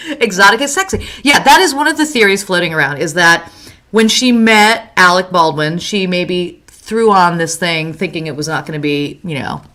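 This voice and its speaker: A woman born in Mexico co-hosting a casual chat show, lively and laughing often, dropping in Spanish words. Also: on the low side, normal speed. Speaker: co-host.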